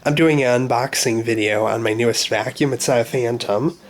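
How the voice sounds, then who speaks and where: A man with a cold, sounding congested, talking through an unboxing at home in a halting, unscripted way with many pauses and fillers. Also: medium pitch. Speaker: vacuum hobbyist doing an unboxing.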